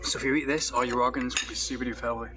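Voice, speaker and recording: A man's voice: mid-range voice, little pitch movement, rapid-fire, very noisy. A British man vlogging casually while eating, rambling and opinionated with lots of restarts. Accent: British English. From Britain. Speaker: travel vlogger.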